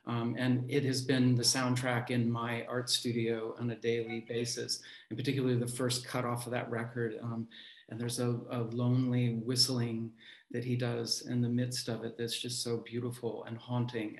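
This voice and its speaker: A man's voice: mid-range voice, completely flat delivery, moderate pace. A middle-aged man hosting an online event, introducing a reader over a video call in a roomy space. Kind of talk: event introduction, part read and part informal. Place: room at home, indoors.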